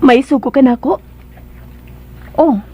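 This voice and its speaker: A woman's voice: sits high, slow pace. A woman playing a meek young household helper in a radio drama, on a dull, noisy recording. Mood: uneasy, probing.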